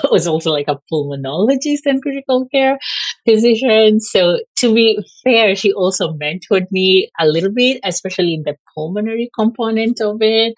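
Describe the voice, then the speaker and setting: A woman's voice: lowish voice, no room sound, rough recording. A woman from Kenya with a Kenyan accent, a physician guest talking casually in an interview and using lots of "like" fillers. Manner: chatty, frequent "like" fillers. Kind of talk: conversational interview.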